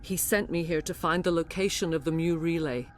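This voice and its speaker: A woman playing a grave alien matriarch, a mind-controlled servant, speaking formally and with measured drama in a video game. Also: low voice, fairly even intonation, slight background noise, close to an everyday voice. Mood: solemn, haunted.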